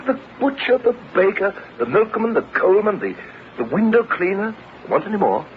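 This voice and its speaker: An actor playing a brisk, reassuring British husband in a radio drama, breezy and matter-of-fact. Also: male voice, lowish voice, wildly swooping pitch, full character voice. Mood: teasing. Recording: lo-fi radio recording, clear background noise.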